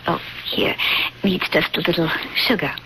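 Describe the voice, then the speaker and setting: A woman's voice: fairly low pitch, lightly acted. An actress playing a seductive, sinister woman who secretly craves youth, in a coaxing, intimate 1970s radio mystery style. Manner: coaxing, deliberate, intimate delivery. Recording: noisy 1970s radio drama recording.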